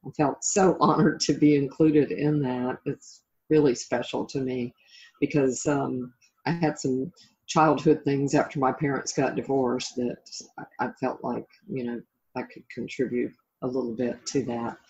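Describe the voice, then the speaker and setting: A woman's voice: rumbling low, fairly even intonation, a little slow, darkish tone. Middle-aged woman, encouraging, dull lo-fi audio. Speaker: interviewed life coach and author. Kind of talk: reflective, conversational interview answers.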